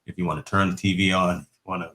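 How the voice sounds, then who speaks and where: A deep-voiced man hosting a casual tech chat, with a bright, forceful tone. He rambles easily and restarts his sentences. His voice rings in an echoey room. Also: fairly even intonation, fast. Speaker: host.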